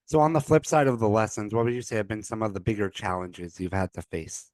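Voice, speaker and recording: A man hosting a podcast, asking relaxed questions and agreeing easily with his guest. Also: on the low side, fast, dry recording. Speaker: podcast host. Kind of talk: interviewing.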